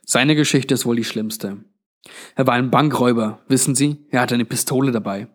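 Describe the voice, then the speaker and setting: A man's voice: mid-range voice, normal speed, close to an everyday voice. A man voicing a weary, blunt old undead man with a grave, resigned manner in a narrated story. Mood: grim, sad.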